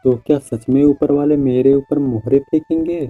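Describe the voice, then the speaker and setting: Guy doing naive honest young village farmer for a hindi cartoon, very mellow dark voice, music behind. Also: medium pitch, steady tone, normal speed, dry recording, dull audio, close to an everyday voice. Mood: hopeful, excited. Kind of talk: Hindi cartoon moral story dialogue.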